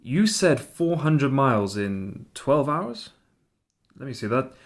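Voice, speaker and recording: A man's voice: mid-range voice, sing-song, slow pace. An actor playing an earnest, inquisitive 19th-century newspaperman in a radio play, a man who speaks politely and precisely. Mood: incredulous.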